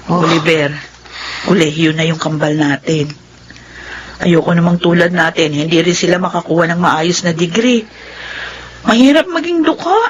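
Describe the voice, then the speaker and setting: A woman's voice: on the low side, moderate pace, pauses often, a little hiss or hum, lightly acted. An actress playing a hardworking, devoted middle-aged mother in a radio drama, earnest and fussing. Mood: earnest, tired.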